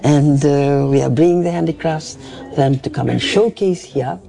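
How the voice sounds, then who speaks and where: A man's voice: fairly high pitch, moderate pace, vocal fry, warm tone, faint music behind. A man from Fiji explaining things earnestly in an interview clip, often adding "see?".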